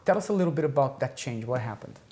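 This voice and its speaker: A woman's voice: a bass voice, animated, fast, mellow. A woman hosting a talk show, upbeat and full of questions for her guests.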